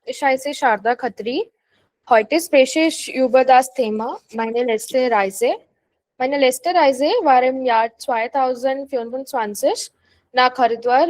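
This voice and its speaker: A female language student with an Indian accent recites a prepared talk in orderly sentences. Her voice is high, and the sound is dry with little room in it. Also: no echo, rough recording. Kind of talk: prepared class presentation.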